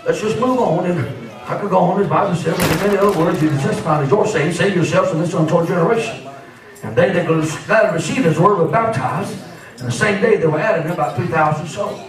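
A man preaches with fervent emphasis, dropping in amens as he goes. His voice sits high for a man. It comes through a handheld mic into a very echoey church, heard from far off. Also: a little fast, crowd noise. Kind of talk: Pentecostal sermon. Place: church sanctuary, at and around pulpit. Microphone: handheld microphone.

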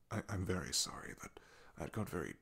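Man playing a hushed, gravelly low-voiced knight. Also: exaggerated voice. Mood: apologetic, awkward. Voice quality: husky, gravelly, breathy half-whisper. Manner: hesitant, halting, confiding in hushed tones. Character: loyal male knight, solemn, haunted, devoted to his princess. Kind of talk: ASMR fantasy roleplay monologue.

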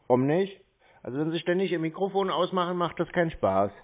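A man's voice: fairly high pitch, animated, fast talker. A man in a casual phone conversation, talking as a customer over a tinny line. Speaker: scam-baiting show host posing as a customer on the phone.

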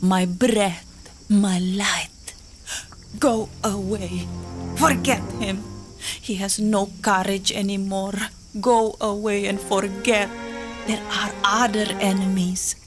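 An actress playing a proud, desperate young native woman in a full-cast audio drama, speaking in slow, poetic, impassioned pleas. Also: mid-range voice, fairly even intonation, over music, lightly acted.

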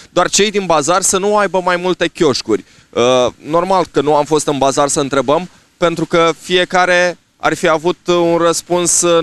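A man in his thirties hosting a local TV street report into a handheld microphone, pausing often on drawn-out fillers. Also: on the high side, a bit bright, clear, resonant tone, no echo. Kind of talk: unscripted piece to camera. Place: outdoors on a snowy town street. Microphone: handheld microphone held at chest.

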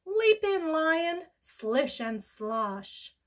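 A woman's voice: sits high, big pitch swings, very slow, voice pushed up, heavily acted. An older woman voicing cheerful, welcoming young children in a storybook, bouncy and inviting.

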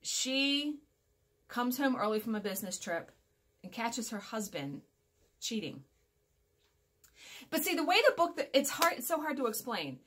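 A woman's voice: fairly high pitch, sing-song, measured pace, long pauses, fairly bright tone. Chatty woman in her forties, casual vlogger. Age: 40s. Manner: chatty, rambling, self-correcting, emphatic. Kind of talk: casual chat to viewers. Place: small furnished room at home, indoors.

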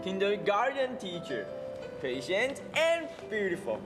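A man's voice: high-pitched, wildly swooping pitch, measured pace. A young man playing a Taiwanese student in a school stage skit, speaking earnest, carefully recited English. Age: young adult. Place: large auditorium stage. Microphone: stage floor mics from afar. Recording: hall reverb with faint music.

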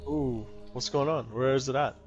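A young man chatting with friends while gaming, animated and excitable. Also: medium pitch, dull audio, faint music behind. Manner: animated, excitable, quick to laugh. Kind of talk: casual chat while gaming.

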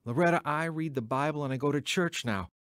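An actor in an audio drama playing an earnest, emotional, wavering ex-convict who has newly found religion. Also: male voice, fairly high pitch, a little fast, a bit bright, dry recording, clean studio sound, natural voice. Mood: excited, insistent. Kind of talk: audio drama acting and first-person narration.

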